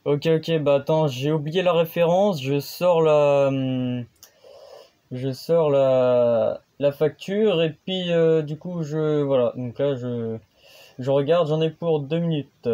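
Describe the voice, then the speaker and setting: A man's voice: on the high side, slow pace, mellow. A man, a radio hobbyist host, chatting casually on the air and hesitating often with fillers. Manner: hesitant, many fillers and restarts.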